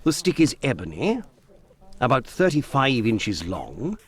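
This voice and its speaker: An actor playing a smug, lecherous Victorian gentleman in a video game, insinuating and condescending. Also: medium pitch, big pitch swings, measured pace, slightly rough.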